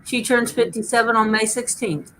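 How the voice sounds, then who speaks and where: A woman's voice: fairly high pitch, steady tone, moderate pace, a crowd in the background, poor audio. A woman in her fifties in a casual group chat, dropping dry, teasing quips. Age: 50s.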